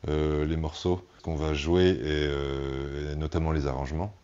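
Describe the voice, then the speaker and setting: A man, a band musician, answers in a relaxed interview, explaining things thoughtfully in halting, searching phrases. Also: a bass voice, smooth, highs cut off.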